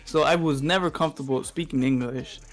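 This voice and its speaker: A man's voice: medium pitch, brisk, soft background music. A man whose first language is Spanish, chatting as a podcast guest over a call, hesitant and full of filler sounds, laughing often. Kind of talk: casual chat.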